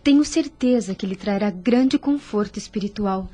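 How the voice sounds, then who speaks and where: Actress as a gentle, mellow-voiced young nurse. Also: female voice, medium pitch, normal speed, natural voice. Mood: earnest, soothing. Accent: Brazilian. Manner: soothing, polite, earnest. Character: friendly, devout young nurse, gentle and caring. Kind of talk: audio drama acting. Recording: music under the voice.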